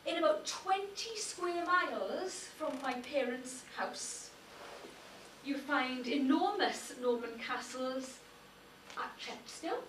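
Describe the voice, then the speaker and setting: A middle-aged woman with a Welsh accent, a native Welsh speaker, giving a measured public lecture as a university lecturer. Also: sits high, expressive, a bit bright, recorded from a distance, a little hiss or hum. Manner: measured, lists names with careful emphasis. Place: darkened lecture hall. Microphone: far room recording. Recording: echoey hall recorded from afar.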